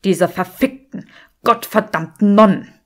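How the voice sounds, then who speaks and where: A woman voicing an irritable, pragmatic young male wizard in an audiobook, terse and forceful. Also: mid-range voice, a little slow, natural voice. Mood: furious.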